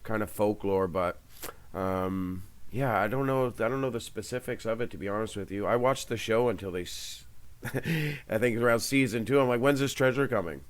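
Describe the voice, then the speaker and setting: A man's voice: lowish voice, normal speed. A man in his late thirties, a guest on a remote interview, talking in a rambling, hesitant way with many ums, over a headset. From Canada's Maritime provinces. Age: 30s. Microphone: headset on a call.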